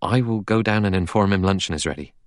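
Man playing an anxious, soft-spoken butler. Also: low-pitched, fast, dry recording, clean studio sound, lightly acted. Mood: uneasy. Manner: soft, formal, servile. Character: anxious, deferential male butler.

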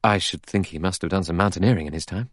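A man's voice: low voice, quick pace, no room sound, clean studio sound, natural voice. A man voicing a worried, doubtful doctor, hesitant and a little impatient, with educated phrasing.

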